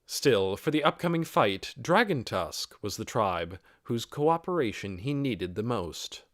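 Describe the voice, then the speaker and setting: A man's voice: mid-range voice, big pitch swings, measured pace, a bit bright, no echo, clean studio sound. A man reading scripted fantasy fiction as an audiobook narrator.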